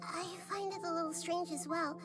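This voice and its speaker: A very high, calm little girl goddess, curious and wise, in game audio over loud music. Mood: gentle. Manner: gentle, thoughtful, measured. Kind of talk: game voice acting.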